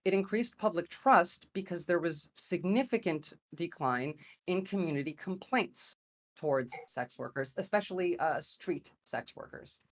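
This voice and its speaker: A woman with an American accent and a somewhat low voice speaks as a debate guest. She argues in a conversational style, pausing to search for the right word as she builds her point. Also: expressive. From grew up in America. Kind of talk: argumentative debate opening statement.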